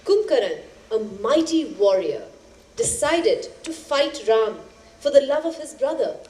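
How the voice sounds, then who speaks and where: An actress playing a spirited storyteller who narrates epic tales in a dramatic, expressive theatrical style. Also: female voice, high-pitched, unhurried. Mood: spirited, countering. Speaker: stage actor in a two-hander play. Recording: echoey stage, faint background noise.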